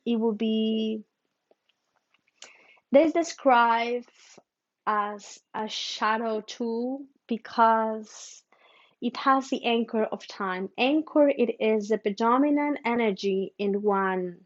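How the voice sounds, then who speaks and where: A woman's voice: on the high side, steady tone. Woman, slightly muffled recording. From Mexico. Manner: halting, thoughtful, with humming pauses. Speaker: spiritual teacher. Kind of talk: spiritual teaching talk.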